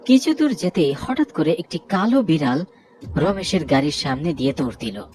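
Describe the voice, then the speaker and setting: A man's voice: on the high side, big pitch swings, normal speed, a little mellow, slight background noise. A man narrating a scripted horror story as its storyteller. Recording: prominent music bed under voice.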